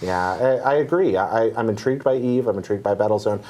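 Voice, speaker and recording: A man with a husky, lowish voice. He talks casually about games as a panelist, with a dry, joking delivery. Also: fast talker, darkish tone. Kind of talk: casual video game discussion.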